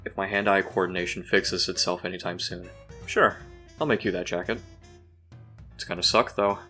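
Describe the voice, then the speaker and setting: A man playing a deadpan, sarcastic teenager with a laconic, dryly teasing delivery in an audio drama. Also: low voice, moderate pace, faint music behind. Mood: dry, sardonic.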